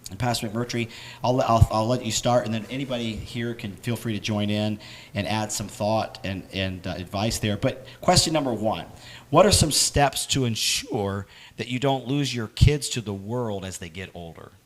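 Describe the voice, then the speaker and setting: Hoarse pastor with a worn voice. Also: male voice, fairly low pitch. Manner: plain, halting, many fillers. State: hoarse, lost his voice. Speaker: pastor hosting a Q and A. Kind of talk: casual church panel talk.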